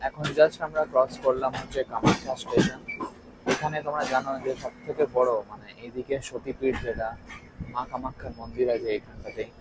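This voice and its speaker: Young man, fast, lively travel-vlog host, clear train rumble behind. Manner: lively, chatty, points things out to viewers. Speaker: travel vlogger. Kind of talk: casual travel vlog narration. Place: train coach and station platform, mostly moving train.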